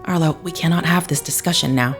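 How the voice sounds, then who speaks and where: A woman's voice: deep voice, quick pace, soft background music. An actress playing a secretive, urgent woman conspirator in an audio drama, grave and commanding.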